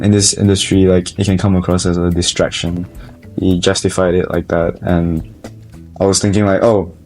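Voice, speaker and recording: A man's voice: deep voice, a little mellow. A young man with a Malaysian accent, a college student telling his own story in an interview, thoughtful and often restarting his sentences. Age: young adult. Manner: thoughtful, with frequent restarts and hedges. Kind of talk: personal interview testimony.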